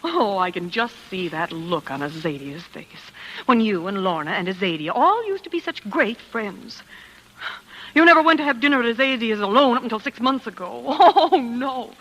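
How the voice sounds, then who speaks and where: An actress playing a jealous, vain, dramatic wife in a theatrical, self-pitying 1940s radio style. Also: female voice, on the high side, expressive, moderate pace, a bit bright, rough recording. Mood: jealous, bitter. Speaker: lead actress and first-person narrator. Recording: old 1940s radio recording with music.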